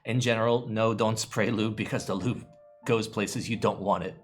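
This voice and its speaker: Rambling man streaming, soft music. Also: lowish voice, steady tone, normal speed. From United States. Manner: rambling, swears freely, playful groans. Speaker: keyboard streamer. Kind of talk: casual live-stream chat answering viewers.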